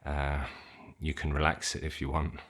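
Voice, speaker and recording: A man leading an unscripted guided meditation, giving simple instructions in an informal, gently hesitant way. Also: very deep voice, completely flat delivery, normal speed.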